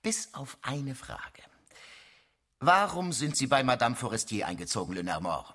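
A sceptical male police investigator in a radio crime play. His voice is rough-edged and mid-pitched, with wide swings of intonation. He is blunt and drily sardonic. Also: big pitch swings, moderate pace, bright, forceful tone. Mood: probing, sardonic. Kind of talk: naturalistic radio drama dialogue.

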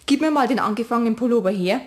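A woman's voice: fairly high pitch, natural voice. A woman playing a strict, conscientious mother in a children's radio play, with a Bavarian accent and a firm manner. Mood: exasperated, scolding. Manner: scolding, brisk, firm.

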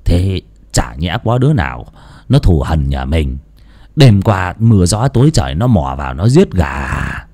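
Grumpy old rural husband, low and blunt, as played by the narrator. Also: male voice, sing-song, unhurried, warm tone, husky, dry recording, heavily acted. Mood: puzzled. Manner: blunt, scolding. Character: gruff skeptical elderly rural husband.

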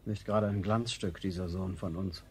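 A man's voice: sits low, steady tone, normal speed. An actor playing a clinical, disdainful king-father in a radio play, patronising and detached. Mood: disdainful appraisal. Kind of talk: 1970s German radio play acting.

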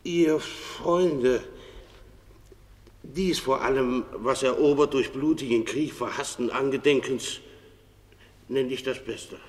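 An actor playing a kindly, reverent farmer on a jury of the dead, speaking reflectively in a radio drama. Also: male voice, mid-range voice, slow, pauses often, lightly acted. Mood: reverent warmth. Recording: long hollow reverb.

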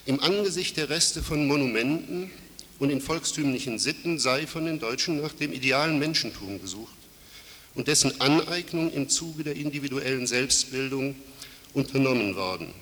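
A man's voice: mid-range voice, lively intonation, sharp tone. Man with a cold lecturing in a hall. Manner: formal, measured, with frequent fillers and self-corrections. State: has a cold. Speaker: conference speaker. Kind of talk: academic lecture. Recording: hissy reverberant hall recording.

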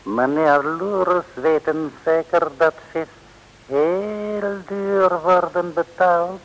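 A man's voice: fairly high pitch, steady tone, drawn-out words, rough recording. An actor playing a sly, mock-humble henchman villain in an old radio comedy serial, speaking ceremoniously in stilted broken grammar.

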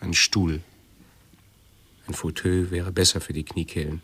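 An actor playing a fussy hypochondriac man in a radio play, who talks haltingly and pedantically, correcting himself. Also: deep voice, level tone, a bit bright, husky, full character voice. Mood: agitated.

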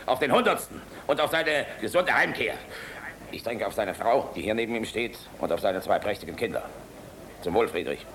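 An actor playing a blunt, jovial middle-aged air force general in a radio drama, with a commanding, irreverent manner. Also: male voice, on the low side, expressive, normal speed, a bit bright, a little hiss or hum. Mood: hearty toasting. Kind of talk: German radio drama acting.

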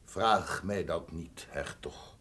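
An actor playing a grave, guarded count in a radio drama, speaking formally and deliberately. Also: male voice, low-pitched, a bit bright, a little hiss or hum. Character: grave, measured count, guarded adviser to a duke. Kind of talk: theatrical Dutch radio drama acting.

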